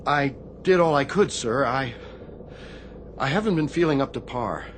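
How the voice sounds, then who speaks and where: An actor playing a troubled, deferential chief bosun's mate in a radio drama, speaking in a hesitant, guarded, formal way. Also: male voice, medium pitch, lively intonation, normal speed, close to an everyday voice. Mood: uneasy, deferential. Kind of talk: radio theatre acting. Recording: clear background noise, shipboard ambience.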